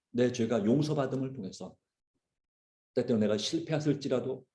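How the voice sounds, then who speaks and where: A man's soft-edged, mellow voice carries through a reverberant room. He speaks as a pastor giving a sermon, earnestly and deliberately. Key phrases come back again for emphasis. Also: medium pitch. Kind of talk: sermon with personal illustration. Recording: roomy, echoing sound.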